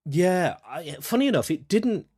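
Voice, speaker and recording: A man chatting as an interview guest about his creative work, thinking aloud haltingly and often repeating words. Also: high voice, big pitch swings, warm tone, breathy edge, no echo, studio quality. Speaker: audio drama writer-director, interview guest. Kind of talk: casual interview chat.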